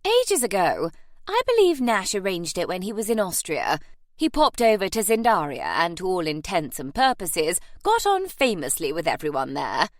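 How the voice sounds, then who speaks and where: A woman's voice: on the low side, wildly swooping pitch, normal speed, dry recording. Lady doing a posh bossy old aunt for an audiobook, airy n dismissive, voice swoops all over, real dry recording. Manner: emphatic, swooping, grandly dismissive. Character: imperious, airy aristocratic English aunt, older lady. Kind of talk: audiobook character acting.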